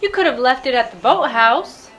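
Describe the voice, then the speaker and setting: A woman voicing a concerned, gentle mother in a children's bedtime story. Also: high-pitched, expressive, normal speed, a little hiss or hum. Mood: anxious.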